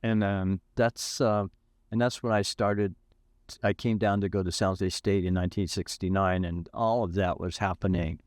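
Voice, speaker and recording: Man, seventies, low-pitched, unhurried interview talk with fillers, dry close sound. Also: fairly even intonation, a little mellow, no room sound. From California. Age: 70s. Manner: thoughtful, hesitant with frequent fillers. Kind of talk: reflective interview.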